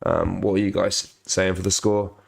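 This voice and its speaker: Young guy with a cold, croaky and gravelly, low voice, lots of ums, chatting on a football stream. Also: level tone. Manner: halting, many ums and restarts, reads out viewer comments. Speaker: football channel host. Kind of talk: casual live-stream watch-along chat.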